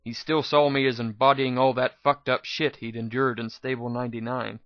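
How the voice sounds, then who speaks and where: A man's voice: mid-range voice, little pitch movement, normal speed, dry recording. Man reading a story, slightly muffled. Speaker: amateur narrator of fan fiction audiobook. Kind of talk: dramatic scripted story narration.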